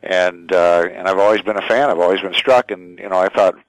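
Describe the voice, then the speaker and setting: A man in his sixties speaking reflectively as a radio executive being interviewed, feeling his way through his sentences in a halting, thoughtful manner. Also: sits low, dry recording, rough recording. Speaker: radio station general manager, interview guest. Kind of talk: conversational interview, reflective storytelling.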